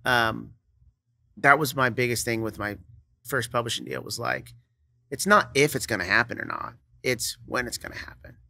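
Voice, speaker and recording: Bright-voiced young man, easygoing guest. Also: mid-range voice, lively intonation, moderate pace, no echo. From Kansas. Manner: easygoing, laughs often, trails off with "you know". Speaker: songwriter and producer guest. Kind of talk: casual interview chat. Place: studio set with brick wall and couch. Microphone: studio mic on boom arm.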